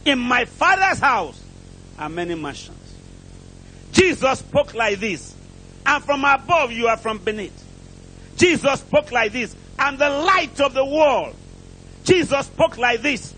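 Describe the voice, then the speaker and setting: A male preacher with a strikingly high-pitched voice delivers an impassioned sermon. He declaims in short, repeated phrases and puts rhetorical questions to his listeners. There is faint noise and rumble behind him. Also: expressive, measured pace, pauses often, bright, forceful tone, low-quality recording. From outside England, preaches there as a visitor. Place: church pulpit, preaching to a congregation.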